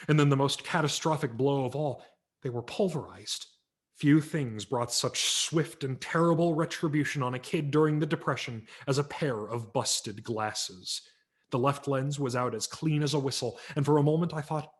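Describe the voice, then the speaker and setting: A man, a professional audiobook narrator, giving a vivid dramatic reading of a humorous memoir over a video call. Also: medium pitch. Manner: vivid, expressive storytelling.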